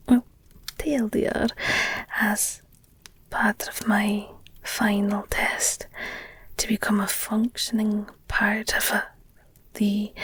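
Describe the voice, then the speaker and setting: A woman playing an affectionate, candid werewolf girlfriend in an intimate ASMR audio roleplay, speaking tenderly and hesitantly in a breathy whisper. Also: mid-range voice, fairly bright tone, full character voice. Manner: slow, tender, hesitant, confiding. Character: affectionate werewolf girlfriend, gentle, candid, wistful about her past.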